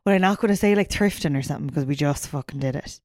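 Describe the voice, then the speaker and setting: An Irish woman co-hosting a podcast in casual banter, playful and quick to swear. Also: low voice, a little mellow, no room sound, studio quality. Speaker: podcast co-host.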